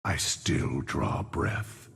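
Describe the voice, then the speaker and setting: An actor playing a weary, grim old necromancer in a video game, with a gravelly, breathy voice and slow, solemn delivery in long reverb. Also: male voice, fairly bright tone, slight background noise. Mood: weary, resigned. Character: weary, grim old male necromancer shepherd of lost souls. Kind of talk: video game character voice lines.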